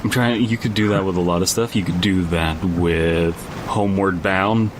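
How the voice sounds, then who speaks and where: A man with a low voice chats casually as a podcast co-host. He rambles and riffs on jokes in a loose, conversational style. The recording has a steady, noticeable rumble behind him. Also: moderate pace. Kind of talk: casual comic banter. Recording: noisy, constant low rumble.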